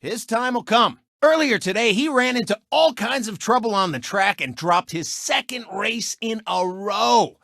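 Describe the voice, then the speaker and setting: A man's voice: high voice, animated, a little slow, creaky, hardly any pauses, pushed and bright, no room sound, studio quality, exaggerated voice. A man voicing a cocky, egotistical race car who hosts a TV racing show, with boastful, mocking showboat delivery in a video game. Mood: showy announcing. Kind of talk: animated video game sportscast patter.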